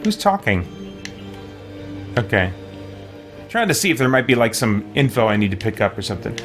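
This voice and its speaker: A man's voice: medium pitch, very animated, normal speed. A man giving casual gameplay commentary on a livestream, chatty and rambling as he thinks aloud. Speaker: livestreamer playing a video game. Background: game music.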